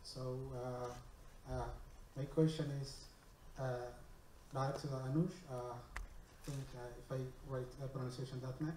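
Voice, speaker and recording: A man from Somaliland with a Somali accent, asking a question from the floor in a halting, fragmented, repetitive way. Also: medium pitch, fairly even intonation, dark tone. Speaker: audience questioner. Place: indoor conference room. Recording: echoey room, distant mic, faint noise.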